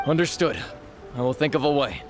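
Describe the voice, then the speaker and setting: A man's voice: mid-range voice, lively intonation, a little fast, lightly acted. A man playing a friendly, respectful soldier in a video game, polite and easily impressed. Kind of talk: scripted video game dialogue. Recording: music behind the voice.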